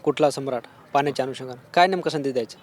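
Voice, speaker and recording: A man's voice: mid-range voice, rapid-fire. A man with a Maharashtrian Marathi accent putting questions as a TV news reporter. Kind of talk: interview questioning.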